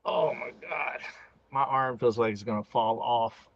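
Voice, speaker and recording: A man's voice: medium pitch, measured pace, gravelly, no echo, muffled recording. A man in his forties chatting casually as co-host of a live stream, with folksy, teasing banter. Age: 40s. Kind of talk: casual chat.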